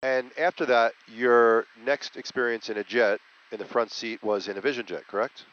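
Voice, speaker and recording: A man's voice: medium pitch, fairly even intonation, normal speed, a bit bright. A man who is a pilot, chatting casually and asking questions in the cockpit of a small jet. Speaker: pilot, host of flight vlog. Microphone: aviation headset. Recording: dull headset audio with clear noise.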